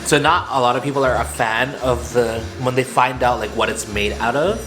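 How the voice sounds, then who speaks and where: A man's voice: medium pitch, fairly even intonation, fairly quick, over music. A young man hosting a casual eating vlog, telling stories animatedly, acting out quotes and laughing often. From Filipino family. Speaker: vlog host. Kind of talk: casual chat over food. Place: apartment kitchen, standing.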